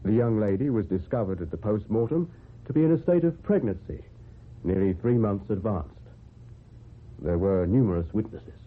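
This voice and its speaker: An actor playing a polite, matter-of-fact police constable in a radio drama, formal and stiffly official. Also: male voice, lowish voice, animated, normal speed, husky. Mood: official, measured. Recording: muffled, tinny old radio recording.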